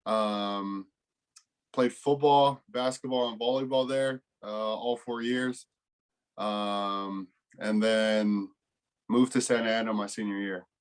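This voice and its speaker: A man in his twenties from California with an American accent, giving casual, laid-back interview answers with frequent pauses. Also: lowish voice, fairly even intonation, smooth. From California, United States. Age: 20s. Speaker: college football player, interview guest.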